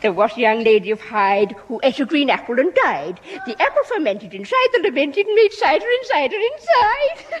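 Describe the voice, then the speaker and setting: Very high, fluting posh English lady. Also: very animated, fairly quick, hardly any pauses. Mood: gleeful. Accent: upper-class English. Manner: gushing, prim, plummy. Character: posh, jolly, gushing, easily carried-away middle-class lady. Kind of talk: 1960s radio sitcom acting. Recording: slightly noisy old 1960s radio recording.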